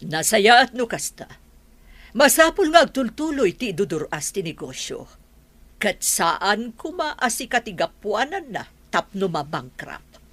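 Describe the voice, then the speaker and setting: A controlling middle-aged mother in an Ilocano radio drama. She is stern and suspicious, commanding in a mid-pitched voice with animated swings. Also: unhurried. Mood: demanding.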